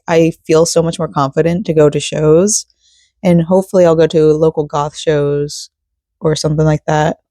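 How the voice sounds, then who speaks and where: A hoarse American woman in her thirties talking casually and ramblingly, as in a personal vlog. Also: low-pitched, warm tone, no room sound, clean studio sound. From Florida, United States. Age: 30s. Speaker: vlogger.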